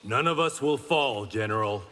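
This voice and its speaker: A man's voice: mid-range voice, animated, normal speed, sharp tone, in a large hall. An actor playing a stoic blind swordsman hero in a video game, with dry, confident wit. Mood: dry confidence.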